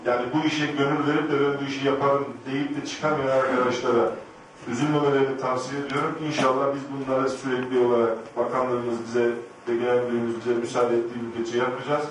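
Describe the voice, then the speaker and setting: A man's voice: mid-range voice, little pitch movement, quick pace, noisy background. A middle-aged man, an official explaining rules to a public assembly informally, repeating himself for emphasis. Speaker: official running a public job lottery. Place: large conference hall, on stage. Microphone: handheld PA microphone, picked up by distant camera. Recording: echoey hall PA recorded from far off.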